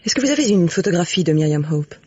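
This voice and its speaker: An actress playing a resolute, protective widow in a French radio mystery, husky and earnest, on a dull old recording. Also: female voice, on the low side, sing-song, fast talker, a little mellow. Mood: calm, reassuring.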